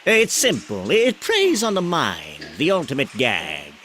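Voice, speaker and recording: A man playing a deranged, gleeful clown villain in a motion comic, theatrical and menacing, halting and taunting over music. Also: mid-range voice, huge pitch swings, heavily acted. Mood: gleeful menace. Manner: theatrical, halting, swinging from hushed to shrieking. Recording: dramatic music under the voice.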